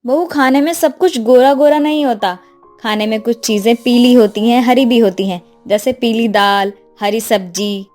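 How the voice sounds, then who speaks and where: A woman playing a middle-aged mother and mother-in-law who instructs and gently scolds in a Hindi moral story cartoon. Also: fairly high pitch, normal speed, faint music behind, close to an everyday voice. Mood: firm instructing.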